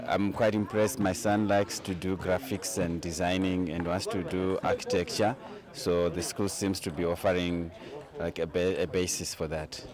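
A middle-aged man answers an interview as a parent. His voice is deep and fairly flat, with a crowd clearly audible around him. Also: normal speed. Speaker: visiting parent. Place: outdoors by a school display. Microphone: handheld mic with furry windscreen. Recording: crowd chatter behind.

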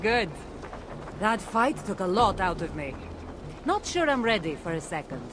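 A woman's voice: mid-range voice, big pitch swings, unhurried, noisy background. An actress playing a wry, seasoned woman adventurer in a video game, teasing and playful but earnest when giving warnings. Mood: wry, reflective. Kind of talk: video game cutscene acting.

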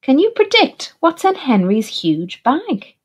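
A woman teacher reading a picture book aloud in an expressive, deliberate way for young children. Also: sits high. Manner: animated, deliberate, puts questions to young listeners. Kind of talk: expressive storybook narration for children.

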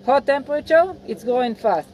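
A man in his forties, an agronomist, explaining farm work patiently in halting, non-native English beside a field. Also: extremely high pitch, expressive, a little hiss or hum, rough recording. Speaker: agronomist guiding a farm tour. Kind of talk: outdoor field lecture. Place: outdoors by a cornfield.

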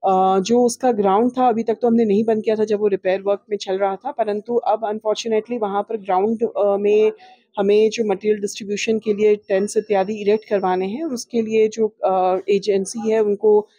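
Woman official, measured formal statement, mellow tone, dry close sound. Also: medium pitch, flat delivery, no room sound, dull audio. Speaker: municipal official. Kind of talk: press statement. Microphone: press mics in front.

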